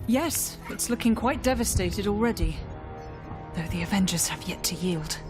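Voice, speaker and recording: A woman's voice: mid-range voice, lively intonation, moderate pace, natural voice. A woman playing a formal, obsequious lieutenant to a villain in a video game, with music behind. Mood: cool reporting. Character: deferential, sly female lieutenant to a villain.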